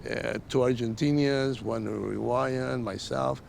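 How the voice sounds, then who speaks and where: Older guy from mexico, creaky voice, reminiscing kinda haltingly, slight rumble behind. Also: fairly even intonation, a little mellow. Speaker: interview guest, former soccer player. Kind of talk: casual reminiscence. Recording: faint rumbling background noise.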